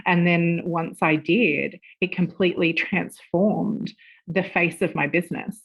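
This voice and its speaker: A woman in her forties, a podcast guest, chatting casually with lively laughter and the odd swear word. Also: lowish voice, a little slow, slightly rough, highs cut off. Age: 40s. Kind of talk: casual interview chat.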